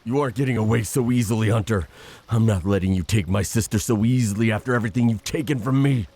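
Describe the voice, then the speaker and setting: Audio drama creature: male actor as a commanding, desperate brother from the water, husky with heavy fry. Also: lowish voice, fast talker, a little mellow. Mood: enraged. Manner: urgent, stubborn, commanding.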